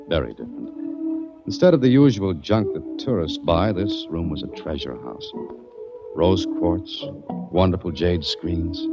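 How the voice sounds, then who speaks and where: An actor playing a curious, wry male adventurer who also narrates, casual and probing, in 1940s radio style. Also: low voice. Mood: narrating. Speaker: narrator and lead. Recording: dull dry old radio recording.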